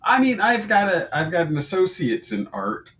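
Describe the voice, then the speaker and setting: A man co-hosting a podcast in casual chat, hesitant and quick to laugh. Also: high voice, big pitch swings, a bit bright, slight background noise. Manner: hesitant, laughs often, many restarts. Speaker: podcast co-host.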